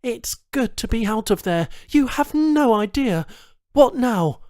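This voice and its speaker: A man's voice: very high voice, animated, a little slow, darkish tone, no room sound, clean studio sound, pitched above the performer's own voice. A man voicing a blunt, sardonic captive woman journalist in an audiobook. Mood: sarcastic. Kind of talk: audiobook character dialogue.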